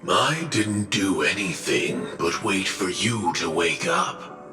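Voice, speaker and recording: An armored machine hunter from a video game, solemn and menacing, with an extremely low voice. He speaks slowly and resolutely, echoing under loud music. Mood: grave, resolute. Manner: slow, grave, deliberate declarations. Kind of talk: scripted video game cutscene acting.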